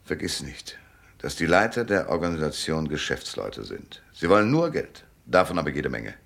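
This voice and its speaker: An actor in a German radio drama playing a world-weary, cynical private detective with a laconic, knowing manner and a deep, gravelly voice. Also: male voice, big pitch swings, moderate pace, fairly bright tone, full character voice. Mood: dry, knowing.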